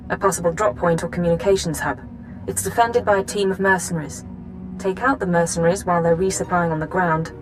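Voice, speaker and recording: A woman playing a grave, driven intelligence chief in a sci-fi game, briefing in a measured, formal way. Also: on the low side, close to an everyday voice, rough recording. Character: grave, driven female intelligence chief, controlled under strain. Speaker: mission handler in a sci-fi game. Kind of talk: dramatic game voice acting.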